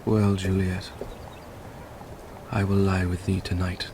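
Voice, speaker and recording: An actor with a British accent playing an impassioned, grief-stricken young nobleman in a classical Shakespearean radio drama, delivering verse theatrically and deliberately. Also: low-pitched, flat delivery, noisy background. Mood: brooding, desperate.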